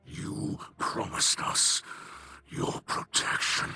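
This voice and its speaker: An actor playing a solemn, weary alien leader in a video game, speaking gravely in a breathy, guttural rasp. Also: male voice, over music. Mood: aggrieved, pleading. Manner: slow, grave, measured. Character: solemn, weary male alien leader pleading for his people. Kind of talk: dramatic game character dialogue.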